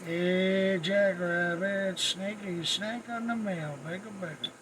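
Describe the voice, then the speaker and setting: A man's voice: high voice, steady tone, slow, very noisy. A man making folksy, repetitive CB radio calls in slangy radio lingo. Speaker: radio operator making calls to other stations. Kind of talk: CB radio calling chatter.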